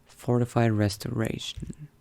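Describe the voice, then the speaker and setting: A man doing an in-character game roleplay, reading aloud in a measured, bookish way and muttering asides to himself. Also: medium pitch, little pitch movement, vocal fry, dark tone. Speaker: roleplay gamer narrating in character.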